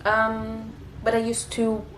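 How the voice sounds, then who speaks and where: A woman's voice: fairly high pitch, monotone, slow, echoey room, slight background noise, low-quality recording. A woman from Portugal with a Portuguese accent, English not her first language, chatting casually in a home vlog with many fillers and restarts. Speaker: booktuber.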